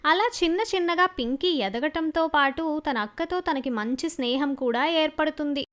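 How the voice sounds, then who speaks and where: A woman's voice: sits high, moderate pace, a bit bright. A woman reading a scripted children's story as its narrator.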